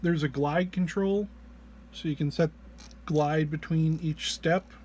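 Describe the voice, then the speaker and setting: A man with a slightly higher-pitched voice presents an informal walk-through. He speaks slowly and hesitantly, with low energy, sounding a bit under the weather as he explains each step. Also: steady tone, darkish tone, a little hiss or hum. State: under the weather, often clearing throat. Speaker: presenter of a music effects patch walk-through.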